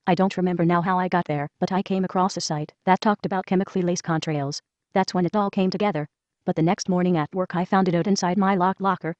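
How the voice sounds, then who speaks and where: A woman reads a first-person letter aloud at a quick clip, in an even, brisk read-aloud manner. Her voice sits slightly low and sounds dry, with no room around it. The recording is dull and lo-fi. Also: no room sound. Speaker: reader of a whistleblower letter. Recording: dull lo-fi voice track.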